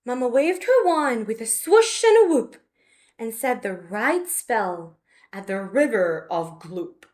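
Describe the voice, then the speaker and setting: A woman reading a rhyming picture book aloud to children, expressive and dramatic, stretching out key words. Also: on the high side, takes it slowly. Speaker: children's story reader.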